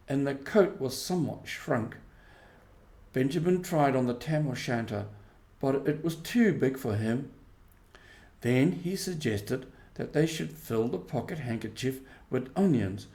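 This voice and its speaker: A man with a medium-pitched voice reads a children's story. He delivers it as scripted storybook narration. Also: mellow. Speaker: storybook reader.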